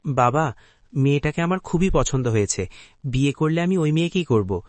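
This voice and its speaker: A man's voice: on the high side, lively intonation, moderate pace, no room sound, lightly acted. A man playing a stubborn young suitor in a cartoon, earnest and firm with his elders. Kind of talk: Bengali cartoon voice acting.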